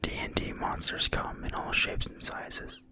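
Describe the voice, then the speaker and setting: An ASMR host reading a game manual aloud in a quiet, breathy, unvoiced whisper with deliberate phrasing. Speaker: ASMR video host and reader.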